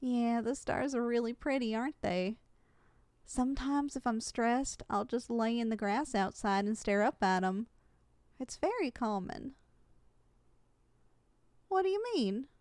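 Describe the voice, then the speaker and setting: A young woman playing a kind, caring giantess in an ASMR roleplay, speaking in a gentle, affectionate, conversational way. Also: fairly high pitch, animated, unhurried, pauses often, no echo, clean studio sound. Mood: caring, tender. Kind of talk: ASMR roleplay, intimate character acting.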